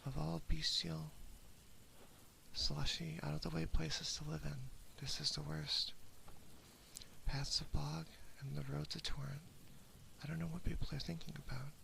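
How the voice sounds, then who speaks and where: A woman voicing a grumbling old father from a storybook in a soft, breathy ASMR whisper. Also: sits low, flat delivery, measured pace, slight background noise, heavily acted, poor audio.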